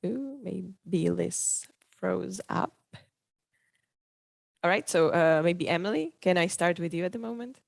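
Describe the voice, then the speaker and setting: A woman's voice: lowish voice, takes it slowly, creaky, pauses often, no echo, clean studio sound. A woman on a video call, a program staff member moderating a panel with hesitant, filler-heavy speech. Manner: hesitant, many fillers, slightly non-native phrasing.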